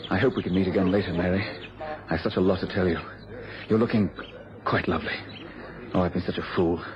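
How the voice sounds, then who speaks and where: An actor playing an effusive, smooth-talking former sweetheart in an old radio drama. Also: male voice, low-pitched, steady tone, a little fast, noisy background, natural voice. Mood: regretful, intimate. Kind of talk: mid-century British radio drama acting. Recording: dull old radio recording.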